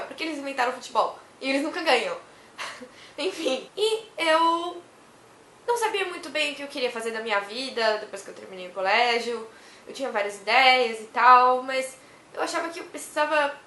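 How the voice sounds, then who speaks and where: A young woman from Brazil who is hoarse from a cough, chatting to camera in a rambling way and laughing often. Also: sits high, pushed and bright, reverberant, slight background noise. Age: young adult. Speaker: vlogger. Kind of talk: casual chat to camera.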